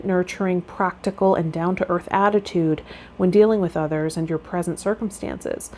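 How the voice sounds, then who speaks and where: A woman's voice: fairly low pitch, mellow, a little hiss or hum. A woman speaking to viewers as a tarot vlogger and spiritual guide, reading aloud and chatting.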